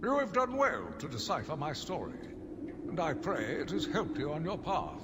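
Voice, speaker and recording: An actor playing a zealous, deluded asylum warden who delivers a theatrical, deliberate diary monologue in a video game. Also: male voice, a little high, wildly swooping pitch. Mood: grandiose fervor. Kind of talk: dramatic first-person game monologue. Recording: long reverb, clearly noisy ambient backdrop.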